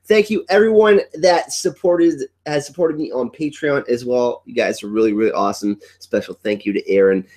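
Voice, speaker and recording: A man's voice: mid-range voice, moderate pace, few pauses, a little mellow. A congested young man with a cough, hosting a casual livestream from home, upbeat and rambling as he greets his chat. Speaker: livestream host. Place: at home indoors.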